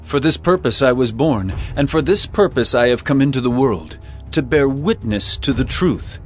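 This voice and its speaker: An actor playing a calm, resolute prisoner in a scripture drama, speaking in a measured, earnest, solemn way. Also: male voice, medium pitch, faint music behind, natural voice. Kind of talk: dramatized scripture audio acting.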